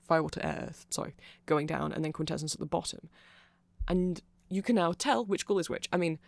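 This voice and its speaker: Creaky-voiced man rambling in a dry ASMR recording. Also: fairly high pitch, a little fast, no room sound. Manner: rambling, self-correcting, chuckling asides. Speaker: ASMR creator explaining band lore.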